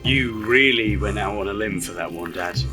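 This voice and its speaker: A man's voice: lowish voice, normal speed, music in the background, natural voice. A man playing a wry, bookish son with a theatrical bent in an audio drama.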